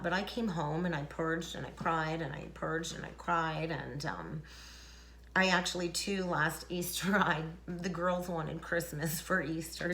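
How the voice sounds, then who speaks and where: Low-voiced woman, echoey room. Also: a bit bright. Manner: rambling, chuckling, many fillers. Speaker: tarot reader. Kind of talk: casual spiritual talk to camera. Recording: echoey room, faint noise.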